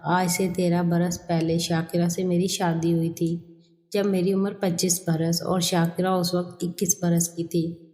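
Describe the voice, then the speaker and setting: A woman's voice: on the low side, warm tone. A woman reading a scripted first-person story as a narrator. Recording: long hall reverb.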